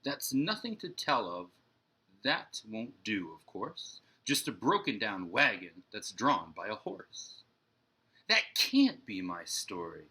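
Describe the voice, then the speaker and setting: Imaginative, eager boy narrator for a picture book, acted out by a man. Lively swings, husky tone, dramatic rhyme. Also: on the low side, slow pace, full character voice, rough recording. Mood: scheming, excited. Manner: dramatic, emphatic, sing-song rhyme. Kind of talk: animated children's storybook read-aloud.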